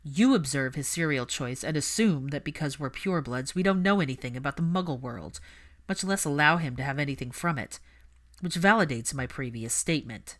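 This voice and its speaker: A guarded, scornful upper-class man played by a woman narrator speaks in a low register. His audiobook dialogue is clipped and formal, full of cool disdain. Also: expressive, fairly quick. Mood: scornful, challenging. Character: cold, guarded, contemptuous aristocratic young father.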